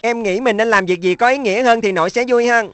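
A woman's voice: on the high side, fast, no echo, close to an everyday voice. Woman as an earnest cartoon schoolboy, dry sound. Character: earnest, thoughtful schoolboy, middle sibling. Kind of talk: Vietnamese-dubbed children's cartoon.

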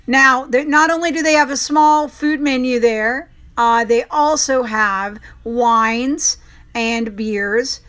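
A woman narrates a local vlog, chatty and enthusiastic. Her voice sits high and sounds bright and forceful. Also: slow, smooth. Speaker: host. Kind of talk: upbeat local promo narration.

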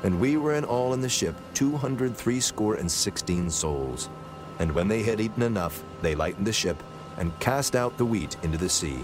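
A man narrating scripture from a script in a measured, solemn manner, as part of a dramatized reading. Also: low voice.

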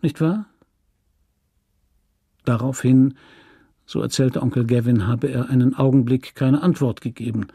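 A man narrating a literary story from a script in a measured, sober manner. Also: mid-range voice, flat delivery, mellow, husky, no echo, studio quality.

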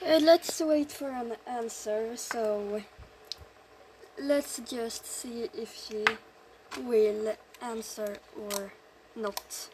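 A young woman with Swedish as her first language, thinking aloud slowly and hesitantly while commenting on her online game. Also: on the high side, very noisy. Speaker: gamer recording her screen. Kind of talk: casual gameplay commentary.

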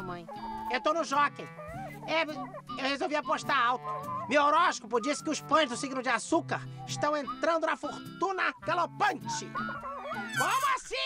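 High, excitable cartoon bread slice. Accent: Brazilian. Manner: dramatic, emphatic. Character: excitable, gullible young male slice of bread. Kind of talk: animated cartoon dialogue.

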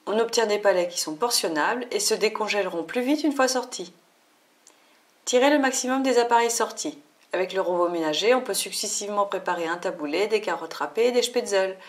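A woman reading a scripted voice-over for her own cooking video. Her pitch sits in the middle range. Her delivery is clear and upbeat, like a tutorial. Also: a little fast. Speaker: cooking channel host.

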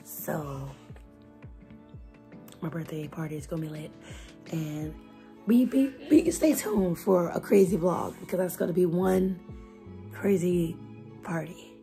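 Young woman, hoarse gravelly voice, low-pitched, chatty vlog talk, lofi music underneath. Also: slow pace, long pauses. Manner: chatty, loud and expressive. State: hoarse, voice nearly gone. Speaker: vlogger. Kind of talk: casual vlog chat to camera. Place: bedroom at a desk, indoors. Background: lofi music bed.

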